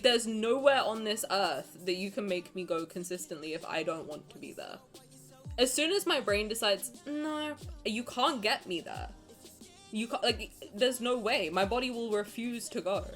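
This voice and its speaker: A woman's voice: fairly high pitch, a bit bright. Chatty streamer woman with a stuffy cold. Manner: chatty, rambling, swears casually, laughs often. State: nasal from a cold. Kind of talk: casual stream chat reading viewer messages.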